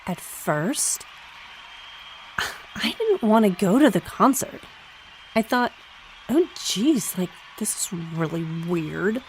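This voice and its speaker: A woman playing a giddy, gushing young groupie in a comic audio drama, talking in teenage slang with dramatic pauses. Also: mid-range voice, animated, a little mellow, a little hiss or hum, poor audio. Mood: gossipy. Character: giddy gushing young groupie girl confessing concert story.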